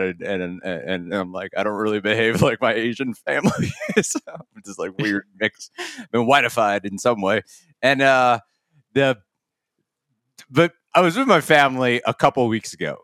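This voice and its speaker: Low-voiced man in casual rambling chat. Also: a bit bright, no room sound, studio quality. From United States. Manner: rambling, laughs often, many restarts. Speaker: podcast co-host.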